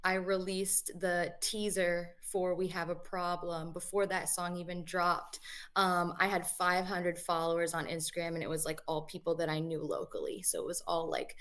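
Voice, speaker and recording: Guest: young woman, lowish voice, relaxed and rambling, video-call sound. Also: moderate pace. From New England, USA. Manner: relaxed, chatty, many fillers. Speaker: guest musician. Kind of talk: casual interview answers.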